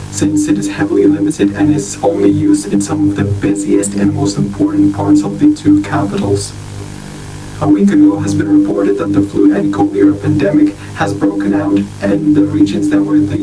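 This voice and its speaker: A man playing a grim monster news anchor, reading a scripted catastrophe bulletin in a solemn, deliberate way. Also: on the low side, lightly acted. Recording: distant, roomy, music underneath.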